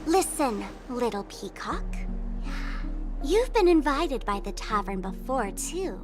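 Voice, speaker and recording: A woman's voice: high voice, sing-song, slow pace, exaggerated voice. An actress playing a sly, taunting young woman trickster in a video game, with a theatrical, teasing delivery. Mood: smug. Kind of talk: video game character acting. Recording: music playing behind the voice.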